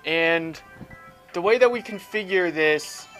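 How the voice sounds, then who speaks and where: A man's voice: sits high, takes it slowly, sharp tone, faint music behind. A man giving a casual step-by-step technical tutorial, posing questions to himself and explaining as he demonstrates. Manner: informal, self-questioning, explains aloud while demonstrating. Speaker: instructor.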